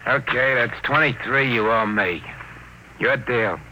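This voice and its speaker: An actor playing a menacing, businesslike racketeer who talks curtly and dryly in an old-time radio drama. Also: male voice, medium pitch, measured pace, close to an everyday voice. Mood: dry, callous. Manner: curt, hard, dry. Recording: hissy vintage radio recording.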